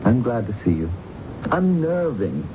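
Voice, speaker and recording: A man's voice: medium pitch, very animated, measured pace, background noise. An actor playing a courteous, earnest and sensitive male visitor in a radio drama, speaking politely and expressively. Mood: puzzled. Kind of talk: 1970s radio drama acting and narration. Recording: muffled old radio broadcast, music behind.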